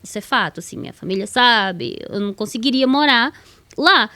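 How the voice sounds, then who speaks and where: A woman's voice: fairly high pitch, lively intonation, a little fast, bright, forceful tone, dry recording. A woman from Bahia, a slightly shy podcast guest telling her story and laughing easily. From Bahia, Brazil. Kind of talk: casual testimony interview.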